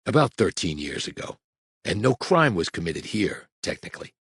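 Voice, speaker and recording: A man voicing a huge, blunt federal agent who speaks quietly and matter-of-factly. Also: low voice, big pitch swings, moderate pace, gravelly, breathy edge, no room sound, studio quality, heavily acted. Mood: low-key. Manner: quiet, terse, matter-of-fact.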